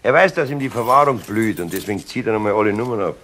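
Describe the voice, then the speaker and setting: A brusque Bavarian police inspector, animated. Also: male voice, on the low side. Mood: businesslike. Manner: blunt, interrogating, deliberate emphasis. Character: authoritative male Munich police inspector, brusque and persistent. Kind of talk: 1970s German radio crime drama acting. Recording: noisy 1970s radio play recording.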